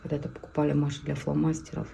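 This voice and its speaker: A woman's voice: deep voice, completely flat delivery, racing, creaky, dark tone. Chatty woman vlogging on her phone. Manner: chatty, talks to viewers and her pet. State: scratchy throat, coming down with a cold. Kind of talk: casual vlog chat to camera. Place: at home indoors, then at an outdoor bus stop. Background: wind and passing traffic noise.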